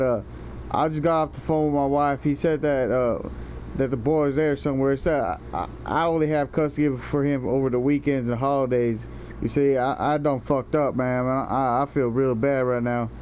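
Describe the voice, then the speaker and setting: A man's voice: medium pitch, normal speed, darkish tone, a little hiss or hum. A young man making a prank phone call in casual, slangy talk, rambling and stammering, with some swearing. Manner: rambling, foul-mouthed, stammering. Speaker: prank caller and video host.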